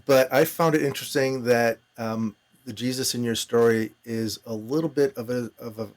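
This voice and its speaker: A man's voice: medium pitch, steady tone, moderate pace. A man hosting a fiction podcast, interviewing guests and narrating stories. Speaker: podcast host and story narrator.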